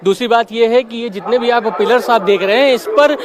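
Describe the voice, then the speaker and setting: A male news reporter speaks in a high-pitched voice, reporting live from a street. A crowd is clearly audible around him as he delivers running commentary for television. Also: brisk. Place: outdoors on a crowded city street. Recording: loud crowd noise around voice.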